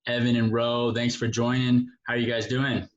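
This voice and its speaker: A man's voice: medium pitch, little pitch movement, moderate pace, muffled recording. A man hosting a casual interview in an informal, affirming manner. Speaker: interview host.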